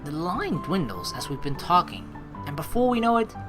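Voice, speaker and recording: A young man narrates a video game playthrough and reacts casually as he goes. His delivery is expressive and slangy, with lively swings in pitch. Clear game music sits behind his voice. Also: normal speed. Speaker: let's play host. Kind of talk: game playthrough, reading dialogue aloud with casual commentary.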